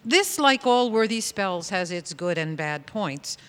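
A woman playing a wry, fond, ageless sorceress who narrates a comic tale in a deliberate, dramatic manner. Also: mid-range voice, expressive, fairly bright tone, clean tone. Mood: fond, exasperated. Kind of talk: comic storytelling narration in audio theatre. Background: music.

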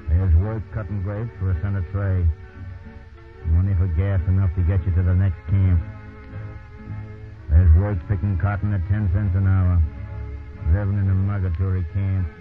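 Radio play: a worn-down Depression farmer, low and plainspoken, bitter, on a muffled vintage recording. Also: male voice. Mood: bitter, weary. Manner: plain folksy storytelling in short phrases. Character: weary displaced Depression farmer, adult man, bitter, plainspoken. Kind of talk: 1930s radio drama acting, monologue.

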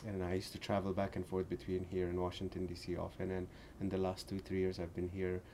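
A man in his forties from Afghanistan, speaking as an interview guest in an earnest, halting way with many restarts. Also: low-pitched, completely flat delivery, warm tone, a little hiss or hum. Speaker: shop owner, guest. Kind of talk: interview conversation.

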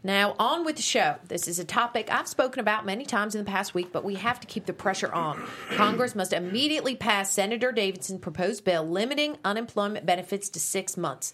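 A woman's voice: mid-range voice, lively intonation, a little fast, fairly bright tone, a little hiss or hum, close to an everyday voice. An actress reading a conservative radio host and mother in a script table read, speaking firmly and earnestly.

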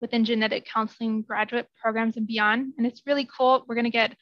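A woman genetic counselor talks earnestly about her research, often softening her points. Her voice is a little high and stays flat in pitch. The sound is dull and dry, like a remote line. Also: brisk, no room sound. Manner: earnest, hedges with "I think". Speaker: interview guest, genetic counselor. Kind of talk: casual interview answers.

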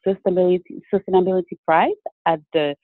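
A man from Haiti, answering interview questions in a measured, explanatory way with frequent restarts. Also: high-pitched, steady tone, no echo. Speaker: guest entrepreneur. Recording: dry, tinny phone-like line.

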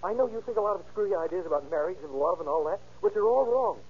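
Fast-talking, high-voiced lovesick young man. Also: animated, slightly rough. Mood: urgent, pleading. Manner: intense, pleading, slangy. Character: distraught, lovesick young man confessing to a shooting. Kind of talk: old-time radio crime drama acting. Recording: dull, noisy vintage radio recording.

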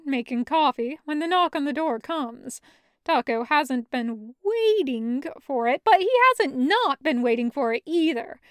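Woman, high voice, expressive fiction narration, dry close sound. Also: no echo. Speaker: audiobook reader of fan fiction.

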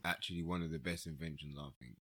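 A man in his mid thirties chats as a guest on a friend's podcast. His voice is very deep and husky, with a bright, forward edge. He talks in laid-back banter. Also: fairly even intonation, rapid-fire. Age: 30s. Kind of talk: casual chat among old friends.

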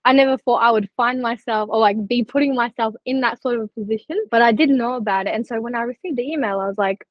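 A woman's voice: on the high side, fast talker, dry recording. A young woman who grew up partly in Turkey, talking earnestly and chattily as a podcast guest, with lots of likes and you-knows. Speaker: scholarship recipient guest. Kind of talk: candid podcast interview. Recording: slightly dull lo-fi audio.

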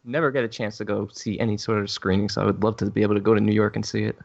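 A man's voice: lowish voice, little pitch movement, very fast, gravelly, a little mellow, highs cut off. A man co-hosting a podcast, easygoing and joking. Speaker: podcast co-host. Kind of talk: casual interview chat.

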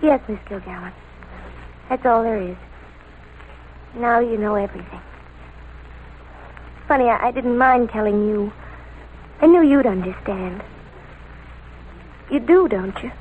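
A woman's voice: a little high, expressive, measured pace, long pauses, a little mellow, slight background noise. An actress playing a meek, dreamy teenage girl in trouble in an old-time radio drama, polite and pleading. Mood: confiding, reluctant. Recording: tinny old radio broadcast.

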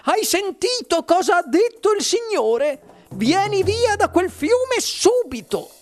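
A very high, shrill storybook mother, frantic and scolding. She stretches her cries out in piercing, drawn-out shrieks over faint music. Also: big pitch swings, exaggerated voice.

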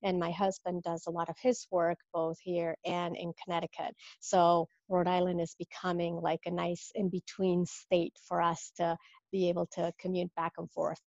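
Mexican-born woman coach, fifties, lower register, thoughtful and halting, dry muffled line. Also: flat delivery, darkish tone, no echo. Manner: thoughtful, with many fillers and restarts. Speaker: guest, business coach. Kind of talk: casual interview.

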